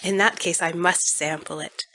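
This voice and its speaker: A woman playing a kindly, gentle minister's wife with a soothing manner in an audiobook dramatization. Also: lowish voice, steady tone, normal speed. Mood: merry. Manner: soothing, reassuring.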